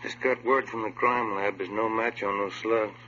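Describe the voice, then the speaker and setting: An actor playing a weary, plain-talking police detective sergeant in a radio drama. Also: male voice, fairly low pitch, steady tone, normal speed, bright, forceful tone. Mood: businesslike, weary. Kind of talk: 1950s radio drama acting. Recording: dull old radio recording, faint hum.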